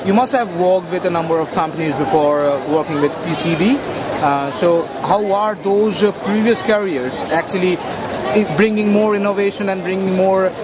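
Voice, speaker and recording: A man's voice: high-pitched, moderate pace. A man interviewing guests, asking long questions hesitantly with many fillers. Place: busy trade show hall. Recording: loud crowd and noise behind.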